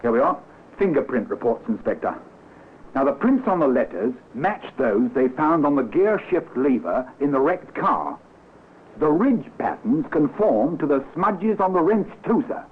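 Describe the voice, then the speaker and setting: An actor playing a deferential police sergeant reporting keenly in an old radio drama, on a tinny, noisy recording. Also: male voice, fairly high pitch, very animated, breathy edge. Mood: eager, dutiful. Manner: brisk, respectful reporting. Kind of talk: old-time radio acting.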